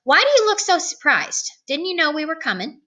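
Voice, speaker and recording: Lady doing a stuffy stern school inspector man, booming questions, pitched up, bright storybook voice. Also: sing-song, brisk, full character voice. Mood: probing, suspicious. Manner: booming, barking, interrogating. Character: pompous, booming, stern male school superintendent, big man.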